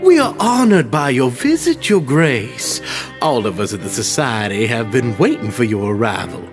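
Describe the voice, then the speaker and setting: An actor playing an earnest, courteous club founder in a video game, who speaks in a deferential, upbeat and slightly flowery way. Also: male voice, medium pitch, sing-song, moderate pace, music in the background. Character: earnest middle-aged male club founder, courteous and idealistic.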